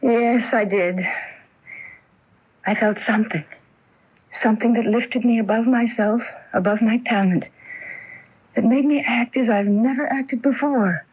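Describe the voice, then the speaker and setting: An earnest young stage hopeful in an old radio drama. Her voice sits a little high and is full of tender, nervous feeling. The sound is dull, like an AM broadcast. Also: a little slow, pauses often, a little mellow, slightly rough. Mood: awed, nervous. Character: excitable, tender young actress haunted by a ghost. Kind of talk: 1980 radio drama acting. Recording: dull AM radio airing from 1980.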